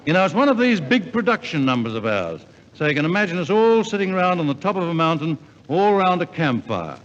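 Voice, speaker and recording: Male compere, 1950s variety radio: lively swooping delivery, chatty and jovial, dull vintage sound. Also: on the high side, a little fast, big-room sound. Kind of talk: informal variety show presenting.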